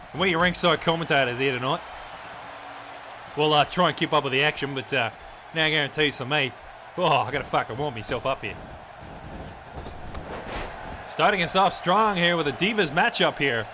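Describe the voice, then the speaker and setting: A man's voice: mid-range voice, background noise, lightly acted. Man putting on a foul-mouthed Aussie commentator. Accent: Australian. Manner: profane, blokey, rambling. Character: crude swearing Australian male wrestling commentator. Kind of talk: video game wrestling commentary.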